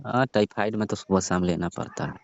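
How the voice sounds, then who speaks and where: A man's voice: lowish voice, brisk, gravelly, a little hiss or hum. A middle-aged man playing a village doctor on a staged comic phone call, laid-back and flirting. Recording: dry, muffled phone call recording.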